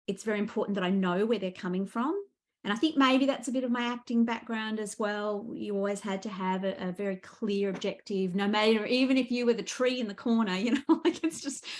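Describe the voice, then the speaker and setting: Reflective woman author in conversation. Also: mid-range voice. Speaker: guest author. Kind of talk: casual book interview chat.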